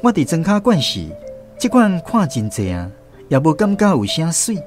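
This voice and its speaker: Nostalgic narrator, Taiwanese accent, animated intonation, scripted storytelling, faint music behind. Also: male voice, a little high, normal speed. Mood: reflective. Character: grown man fondly recalling his country childhood.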